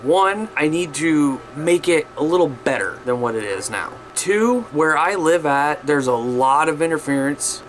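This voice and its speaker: Casual man vlogging over faint background noise. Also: fairly high pitch. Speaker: hobbyist vlogger showing his CB radio setup. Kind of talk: casual explanatory vlog.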